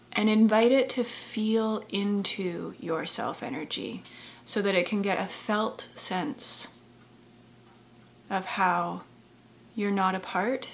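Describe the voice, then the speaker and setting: A woman guides a meditation in a gentle, soft-spoken voice at a medium pitch, with a noticeable creak. She goes unhurriedly, leaving long pauses between phrases. There is some light background noise in the recording. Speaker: therapist guiding a meditation.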